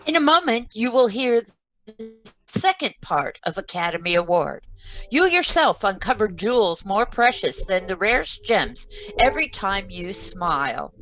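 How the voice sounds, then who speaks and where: Woman acting 1940s radio announcer, formal sponsor read, unhurried measured pace, faint music underneath. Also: medium pitch, sing-song, a bit bright, low-quality recording. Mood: polished, promotional. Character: polished 1940s radio announcer pitching toothpaste. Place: remote read-through over a video call.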